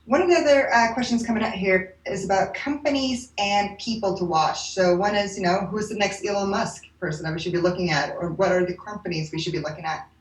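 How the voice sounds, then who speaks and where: A woman moderator reading audience questions, brisk and friendly. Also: medium pitch, roomy sound, poor audio. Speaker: event moderator.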